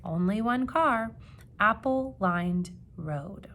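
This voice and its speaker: A woman reading a children's picture book aloud like a teacher, with expressive emphasis and questions put to young listeners. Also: mid-range voice. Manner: expressive, dramatic gasps and emphasis, pausing to ask questions.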